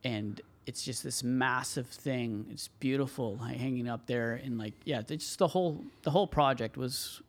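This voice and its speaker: Gravelly-voiced tradesman telling stories. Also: mid-range voice, normal speed, hardly any pauses. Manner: rambling, many fillers and "you know". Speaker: tile contractor, podcast guest. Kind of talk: casual interview storytelling.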